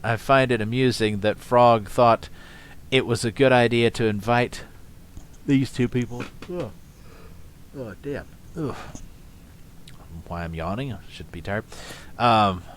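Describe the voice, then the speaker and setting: A man's voice: medium pitch, a bit bright. A man giving sardonic reaction commentary, mocking with frequent chuckles and trailing off into fillers. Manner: sardonic, mocking, laughs often. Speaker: commentary host.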